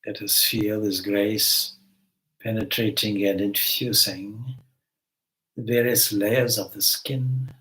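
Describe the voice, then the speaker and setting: A man leading a guided meditation as a spiritual teacher, giving gentle instructions with long pauses between phrases. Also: on the low side, flat delivery, low-quality recording.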